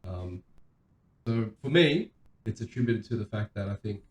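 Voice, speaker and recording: A man in his thirties co-hosting a podcast, chatting casually in a hesitant, halting way. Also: animated. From Australia. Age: 30s. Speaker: podcast co-host. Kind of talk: casual chat. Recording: roomy echo, faint background noise, low rumble.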